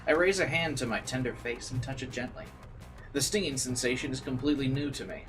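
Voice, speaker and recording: A man's voice: medium pitch, brisk, music in the background. A man reading visual novel narration aloud as a streamer, dropping into informal side comments and occasionally stumbling over words.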